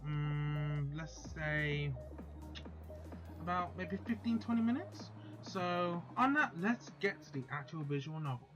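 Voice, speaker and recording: Guy doing a let's play, kinda high voice, faint music in the background, slightly muffled mic. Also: fairly bright tone. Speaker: let's play host reading a visual novel. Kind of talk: casual intro, then reading game text aloud.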